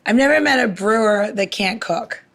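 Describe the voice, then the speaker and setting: A woman's voice: mid-range voice, moderate pace, vocal fry. A woman co-hosting a casual podcast, talking bluntly and acting out dialogue as she tells stories. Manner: blunt, salty, animated storytelling with quoted dialogue. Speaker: podcast co-host; cocktail maker. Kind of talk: casual podcast chat with anecdotes.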